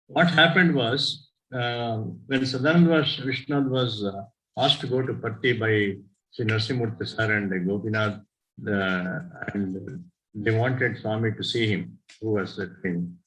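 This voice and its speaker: An older man with an Indian accent whose first language is Tamil, telling personal stories at a devotional gathering in an unhurried, halting way and often correcting himself. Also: on the low side, a bit bright, low-quality recording. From southern India. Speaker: devotee sharing experiences. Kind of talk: personal storytelling talk.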